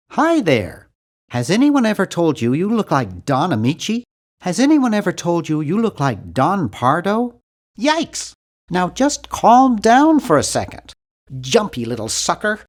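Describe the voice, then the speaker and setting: A man's voice: high voice, wildly swooping pitch, measured pace, exaggerated voice. A man voicing a nerdy, timid, fussy young hero of a cartoon adventure game, with a prim, wry, bookish delivery. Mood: polite, curious, then flustered. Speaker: game character voice actor.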